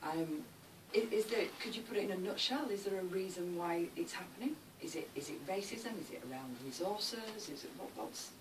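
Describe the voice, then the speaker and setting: A woman's voice: lowish voice, lively intonation, moderate pace, hardly any pauses. A woman interviewing on radio in a conversational, questioning way, often turning statements into questions. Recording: distant mic, clearly noisy background.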